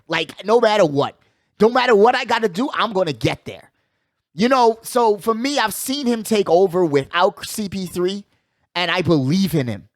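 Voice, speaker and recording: A man with a fairly high voice hosts a casual sports show, recorded close and dry. He gives opinionated commentary in slangy, conversational language, often repeating a phrase for emphasis. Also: a bit bright, no room sound. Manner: slangy, conversational address to listeners.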